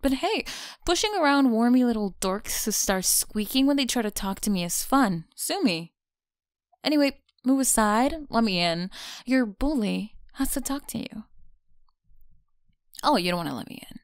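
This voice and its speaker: A woman's voice: fairly high pitch, animated, normal speed, dry recording, studio quality. A young woman with an American accent playing a mean, teasing college bully in an ASMR audio roleplay, mocking and slangy. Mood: mocking, feisty. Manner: mocking, sighing, casual slangy talk. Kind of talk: ASMR audio roleplay, one-sided dialogue.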